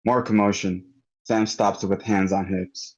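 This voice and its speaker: A man's voice: lowish voice, steady tone, unhurried. A man reading stage directions as scripted narration at a table read over an online call. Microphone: online meeting call. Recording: dull, muffled online call.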